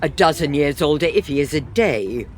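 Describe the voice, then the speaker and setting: A woman's voice: sits low, animated, moderate pace, darkish tone, slight background noise. A woman acting a sensible, dryly exasperated middle-aged wife in a comic period farce. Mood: skeptical.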